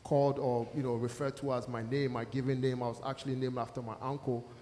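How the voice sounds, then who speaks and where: A man's voice: medium pitch, flat delivery, fast, dark tone. A man from Ghana speaking as a panelist, telling stories earnestly. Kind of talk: panel discussion. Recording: long hall reverb, faint noise.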